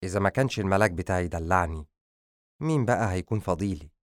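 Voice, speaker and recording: A man's voice: sits low, expressive, quick pace, no room sound. Man acting weary lovestruck young man, dry close sound. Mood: playful. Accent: Egyptian. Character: ailing, lovestruck young man, gentle and playful. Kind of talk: audiobook character dialogue.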